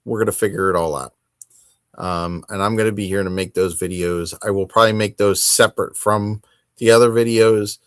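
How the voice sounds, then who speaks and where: A man hosting a hobby podcast, talking casually on his own in a rambling, halting and self-deprecating way. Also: fairly low pitch, steady tone, clear, resonant tone, no echo, studio quality. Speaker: host of a tabletop wargaming podcast. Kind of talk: casual solo chat to camera.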